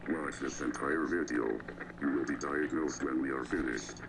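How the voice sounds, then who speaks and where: A man's voice: very deep voice, fairly even intonation, moderate pace, vocal fry, noisy background. A man delivering an ominous scripted voice-over, heard as a played-back video clip.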